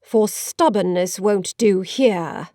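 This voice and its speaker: A woman's voice: medium pitch, slow pace, mellow, no echo, studio quality, close to an everyday voice. A woman playing a harsh, unbending middle-aged spinster in a dramatised audiobook, making emphatic, disapproving pronouncements. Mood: harsh, scornful.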